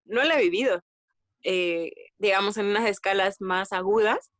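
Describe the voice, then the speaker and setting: A woman's voice: mid-range voice, moderate pace, no room sound. Mexican woman telling stories, close dry sound. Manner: animated retelling with quoted dialogue and slang. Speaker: podcast guest. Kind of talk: casual conversational storytelling.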